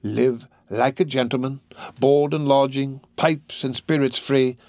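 A man voicing a sly, ingratiating older criminal in a storybook reading, drawing his words out slowly. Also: medium pitch, steady tone, a little mellow, no echo, pitched above the performer's own voice, close to an everyday voice. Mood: sly, coaxing. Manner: slow, drawn-out, ingratiating. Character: sly, wheedling older male criminal fence.

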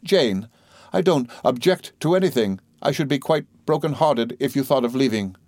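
A man's voice: medium pitch, animated, moderate pace, darkish tone, no room sound, clean studio sound, voice pushed up, natural voice. A man voicing a timid, tearful young wife and mother who pleads falteringly in a storybook reading. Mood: meek, apologetic. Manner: faltering, pleading, repeating herself.

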